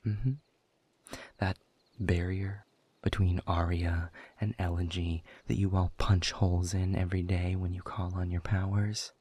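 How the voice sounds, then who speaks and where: A man playing a bitter, world-weary demon in an audio roleplay, speaking in a husky, breathy voice with sardonic, deliberate emphasis. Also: deep voice, warm tone, no room sound. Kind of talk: scripted audio roleplay monologue.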